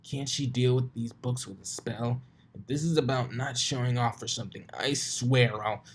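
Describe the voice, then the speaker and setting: A man's voice: mid-range voice, moderate pace, breathy edge, voice pushed up, lightly acted. A man voicing a put-upon young male dragon assistant, exasperated and whiny, in a story reading. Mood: grumbling.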